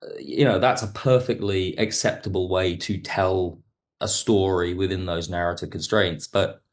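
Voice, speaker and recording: A man with a low-pitched voice talks as a podcast guest. He is reflective and analytical, building explanations step by step and softening his points with careful qualifications. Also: fairly even intonation, moderate pace. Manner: thoughtful, analytical, hedging. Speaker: podcast guest, audio drama writer. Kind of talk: reflective interview talk.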